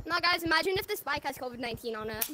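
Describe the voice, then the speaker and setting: A young player joking and giggling in game banter. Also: female voice, sits high, lively intonation, racing, noisy background. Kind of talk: casual gaming banter.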